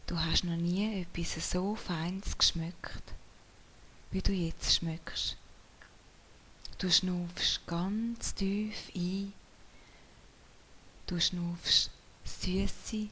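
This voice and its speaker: A woman whispering softly as she leads a guided meditation in a slow, soothing way. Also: fairly low pitch, slight background noise. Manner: soft whispering.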